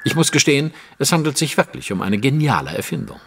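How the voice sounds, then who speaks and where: Clever cocky gentleman detective in a radio play, voice swoops a lot, precise and ironic, some noise behind. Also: medium pitch, lively intonation, quick pace, vocal fry. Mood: confident deducing. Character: brilliant, self-assured gentleman detective, dryly ironic. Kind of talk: German audio drama acting.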